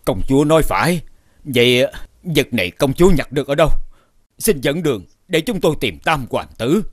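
A man voicing a dignified king and father in an animated fairy tale, speaking in a solemn, courtly manner. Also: mid-range voice, normal speed, no echo, studio quality, close to an everyday voice. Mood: courteous, grave.

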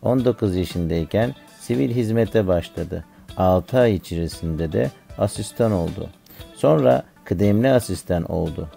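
A man plays an earnest cartoon schoolboy reciting lesson facts, though the voice is deep and mature. It is mellow and creaky, in a scripted style. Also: unhurried, soft background music, exaggerated voice. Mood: earnest, informative. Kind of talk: scripted educational dialogue.

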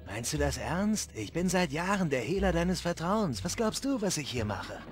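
Sly robot fence, animated. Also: male voice, fairly high pitch, moderate pace, faint music behind. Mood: conspiratorial. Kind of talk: acted video game cutscene dialogue.

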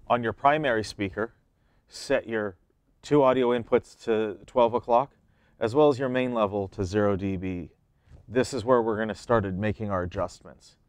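A man's voice: fairly low pitch, clear, resonant tone, no room sound. A man in his thirties giving plain, step-by-step how-to instructions as an equipment demonstrator.

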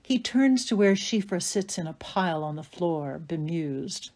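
A woman performing as the narrator of a dark fairy tale audio play, in scripted dramatic narration. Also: on the low side, expressive, unhurried. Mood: measured narration.

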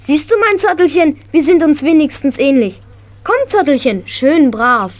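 A woman's voice: high-pitched, animated, moderate pace, a little hiss or hum. An actress playing an eager, affectionate, horse-loving schoolgirl with gushing enthusiasm in a children's radio play. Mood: tender.

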